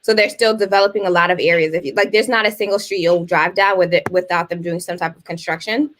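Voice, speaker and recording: A woman in her thirties co-hosting a casual chat show, telling stories animatedly and jumping up high when she exclaims. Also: steady tone, quick pace, slight background noise. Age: 30s. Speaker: co-host.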